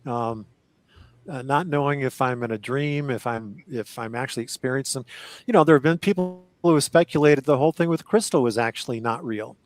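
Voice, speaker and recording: An older man recalls his acting days in a casual podcast chat, rambling and correcting himself mid-sentence and laughing between thoughts. His voice sits at a middle pitch, and the sound is close and dry with almost no room in it. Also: brisk, dry recording. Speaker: actor guest.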